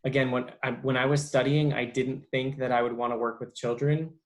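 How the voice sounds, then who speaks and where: A man's voice: mid-range voice, flat delivery, fairly quick. Young guy being interviewed, lots of ums and restarts, easygoing chat. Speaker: interview guest, bilingual speech therapist. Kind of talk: casual interview.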